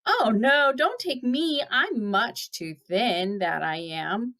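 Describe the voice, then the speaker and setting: Woman as a nervous, higher-pitched middle goat. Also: animated, takes it slowly, pushed and bright, full character voice. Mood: nervous, pleading. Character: middle-sized billy goat, nervous, pleading.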